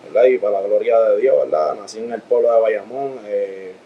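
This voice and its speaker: A man's voice: on the low side, normal speed, gravelly. A man in his thirties with a Puerto Rican accent, giving a personal religious testimony as a guest, peppering his speech with tag questions and praise. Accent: Puerto Rican Spanish. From Puerto Rico. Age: 30s. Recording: dull lo-fi audio, faint background noise.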